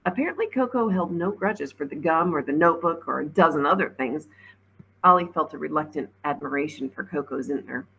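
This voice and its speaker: A woman reading a children's novel aloud as an expressive storybook narrator, like a teacher reading to a class. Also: sits low, brisk, creaky, slight background noise, poor audio.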